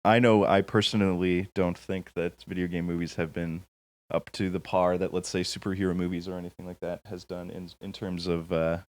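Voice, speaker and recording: A man co-hosting a casual chat show, quick to laugh and informal in the way he talks. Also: sits low, little pitch movement, darkish tone. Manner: laughs easily and often. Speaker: podcast host. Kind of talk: casual chat about video game films.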